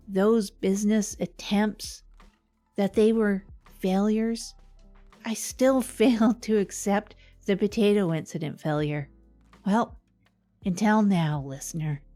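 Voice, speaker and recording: A woman playing an earnest, rambling radio host in a comedy show, speaking in a halting, confiding way. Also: medium pitch, mellow, lightly acted. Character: earnest, rambling woman radio host, self-help minded failed marketer. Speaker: podcast host character.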